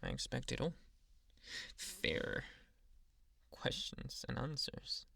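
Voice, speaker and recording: Man acting a possessive, teasing anime boyfriend, creaky close-up voice. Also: medium pitch, bright, forceful tone, no echo, exaggerated voice. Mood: possessive, demanding. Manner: teasing, deliberate, dropping into whispers. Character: jealous, possessive, dominant anime boyfriend, mock-benign and menacing. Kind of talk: ASMR boyfriend roleplay.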